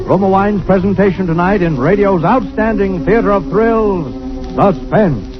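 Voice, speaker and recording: A man's voice: sits high, normal speed. A man announcing a 1940s radio show in a dramatic, declamatory manner. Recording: dull old radio broadcast, loud music.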